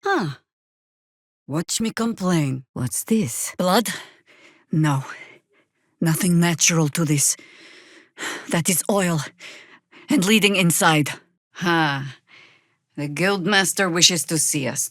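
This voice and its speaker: Casting: seasoned older woman warrior-druid for fantasy game; sardonic, commanding, slow delivery with very mobile pitch, dry studio sound. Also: expressive, no echo, full character voice. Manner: wry, commanding, deliberate. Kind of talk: fantasy video game voice acting.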